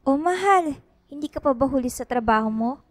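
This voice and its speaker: High-voiced caring Filipino wife. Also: female voice, animated, fairly quick, no echo. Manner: attentive, fussing, affectionate. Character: caring, fussing wife and mother. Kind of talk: Filipino radio drama acting. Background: background music.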